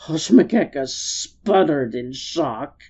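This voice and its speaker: Low, mellow woman storyteller. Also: slightly rough, dull audio. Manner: measured, expressive storytelling. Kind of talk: dramatic storybook narration.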